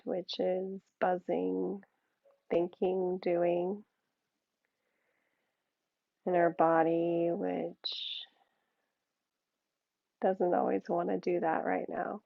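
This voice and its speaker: A woman yoga teacher leading a guided meditation in a soothing, unhurried way, with gentle pauses between short phrases. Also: fairly low pitch, level tone, creaky, no room sound, phone-quality sound.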